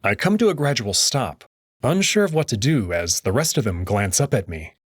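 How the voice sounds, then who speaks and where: A man narrating a story in the first person in an expressive storytelling manner. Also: mid-range voice, normal speed, slightly rough, no echo, clean studio sound. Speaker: audio drama narrator.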